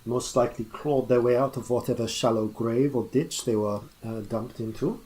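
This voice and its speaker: A man voicing a formal, learned male cleric who explains things in measured, lecturing tones. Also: medium pitch, warm tone, natural voice, poor audio. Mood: explaining.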